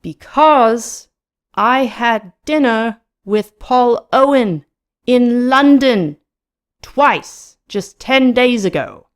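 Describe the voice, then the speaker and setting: A woman's voice: mid-range voice, sing-song, normal speed, vocal fry, voice pushed up. A woman playing a mocking, dismissive club-going man in an audiobook, drawling and emphatic. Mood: impatient insistence.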